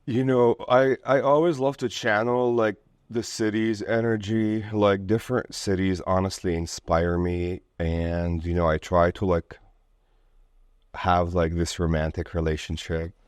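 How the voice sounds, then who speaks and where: A man's voice: low voice, steady tone, unhurried, clear, resonant tone, no echo, clean studio sound. A man from Lebanon speaking as an interview guest in a casual, rambling way, full of filler words like "like" and "you know".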